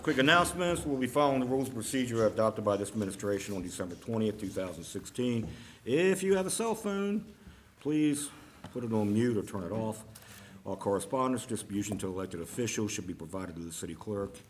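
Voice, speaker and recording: A low-pitched man runs a city council meeting from the podium microphone. He moves briskly through the announcements with plenty of ums and uhs. A faint hiss sits under his voice. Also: expressive. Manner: brisk, plain-spoken, with frequent fillers. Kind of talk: formal meeting chair with announcements. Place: council chamber.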